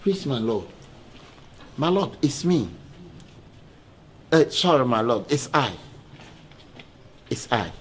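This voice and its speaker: A Ghanaian man playing a flustered, pleading lawyer in a comedy skit, halting and repeating himself deferentially. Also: medium pitch, big pitch swings, slight background noise. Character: flustered, pleading male lawyer addressing a judge.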